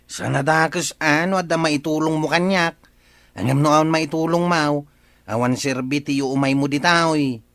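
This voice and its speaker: An actor with an Ilocano accent playing a gruff village man in a comedy radio drama. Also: fairly high pitch, steady tone, moderate pace, a bit bright, no echo. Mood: dismissive.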